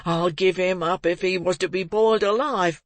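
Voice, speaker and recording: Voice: mid-range voice, fairly even intonation, moderate pace, no room sound, voice pushed up, natural voice. A reader voicing a terrified, defiant young boy who screams for help in a classic audiobook. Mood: frantic defiance. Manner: shrieking, frantic. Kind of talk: audiobook reading of a classic novel.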